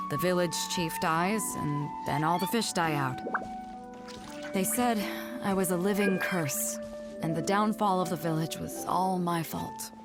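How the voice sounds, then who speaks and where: An actress voicing a proud, tough adult pirate ship captain in a game cutscene, telling her past in a wistful, wry, confident way. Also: fairly low pitch. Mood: somber. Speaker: game character. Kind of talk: dubbed video game cutscene dialogue.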